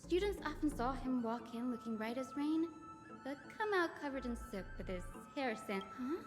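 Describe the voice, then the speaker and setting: An actress playing a gentle, anxious young female scholar in a fantasy game, speaking in an earnest, expressive way. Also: high-pitched, moderate pace, big-room sound, over music. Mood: thoughtful. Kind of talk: voiced fantasy game dialogue.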